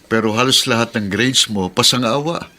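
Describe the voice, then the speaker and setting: A man's voice: medium pitch, normal speed, sharp tone, lightly acted. A man playing a stern, sarcastic middle-aged uncle in a radio drama, scolding as he talks. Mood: disapproving. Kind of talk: Tagalog radio drama acting.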